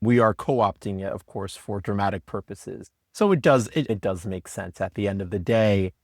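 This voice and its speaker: A man co-writing the show, chatting casually in an earnest way that trails off softly. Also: on the low side, moderate pace, no room sound, studio quality. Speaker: co-writer. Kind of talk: casual chat.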